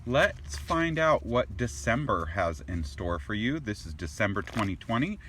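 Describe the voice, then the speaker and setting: A man with a fairly low voice talks viewers through a tarot reading in a loose, casual way. He wanders, doubles back on his words and restarts phrases, and he reacts aloud as each card turns up. A faint hiss of noise sits under his voice. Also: big pitch swings, measured pace, fairly bright tone. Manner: rambling, repeats and restarts words; exclaims at cards as he turns them.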